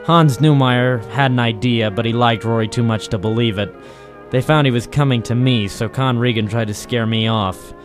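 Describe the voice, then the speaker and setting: An actor playing a cynical, tough private eye, deadpan and wry, in a noir radio drama. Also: male voice, medium pitch, moderate pace, soft background music, natural voice. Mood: cynical narrating. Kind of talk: 1940s film noir radio detective narration.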